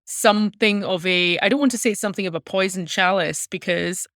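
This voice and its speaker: Bright, clear-voiced Scottish woman. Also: mid-range voice, brisk, no echo, studio quality. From Glasgow, Scotland. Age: 30s. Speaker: interview guest, music journalist. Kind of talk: reflective personal storytelling in an interview.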